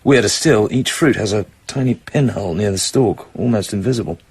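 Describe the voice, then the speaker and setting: Low, gravelly British secret agent. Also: male voice, brisk, darkish tone. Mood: cool, businesslike. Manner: clipped, terse, controlled delivery. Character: suave British male secret agent narrating his own danger. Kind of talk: radio drama acting, terse first-person narration. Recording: music behind the voice.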